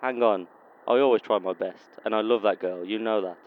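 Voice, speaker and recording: A man's voice: on the low side, moderate pace, lightly acted. A man acting a casual, dismissive, defensive divorced father in an audio drama. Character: laid-back, defensive divorced dad. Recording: dull phone line, outdoor traffic.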